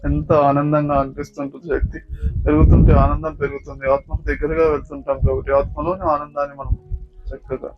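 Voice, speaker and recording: A man with a Telugu accent leading a guided yoga nidra meditation as a teacher, giving slow, repetitive, soothing instructions. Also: fairly high pitch, little pitch movement. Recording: dull lo-fi sound with music. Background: background music.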